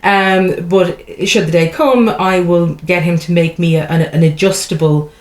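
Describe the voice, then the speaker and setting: A woman's voice: lowish voice, steady tone, moderate pace, a little mellow. An Irish woman, a comedian guest, talking frankly and chattily in a casual interview. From Ireland. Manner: frank and chatty, with laughing asides. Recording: echoey room.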